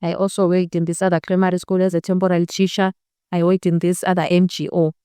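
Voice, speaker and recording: A young woman in her twenties gives a personal testimony in a Zimbabwean accent, with Ndebele as her first language. Her voice is smooth and a little low, in a dry, close sound. She speaks earnestly and slips between two languages. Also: level tone, fast talker, mellow, dry recording. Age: 20s. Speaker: interview guest. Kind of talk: personal testimony in a documentary-style interview.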